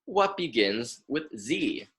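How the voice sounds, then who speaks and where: A young adult American man reads a children's book aloud as a lesson. His pace is unhurried and his intonation is lively, rising and falling widely. He instructs plainly, repeating and stressing words for young listeners. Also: medium pitch. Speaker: graduate student reading aloud to children.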